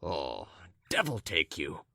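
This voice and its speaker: A man's voice: very deep voice, wildly swooping pitch, slow, vocal fry, pushed and bright, dry recording, studio quality, voice pushed down, full character voice. A man voicing an irritated, impatient young man in a story, terse and brusque with grudging politeness. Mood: annoyed, muttering.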